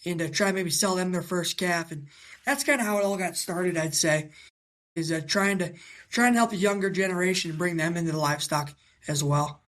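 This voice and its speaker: Young man, twenties, raspy voice, from Iowa, halting casual interview talk. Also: high voice, fairly bright tone. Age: 20s. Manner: halting, many fillers and restarts. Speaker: guest, young cattle breeder.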